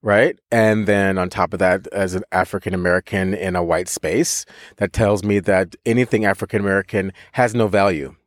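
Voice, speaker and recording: A man co-hosting a podcast, telling a story in a lively, casual way, with frequent laughs, restarts and casual swearing. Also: low-pitched, moderate pace, dry recording, studio quality. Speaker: podcast co-host, voice teacher.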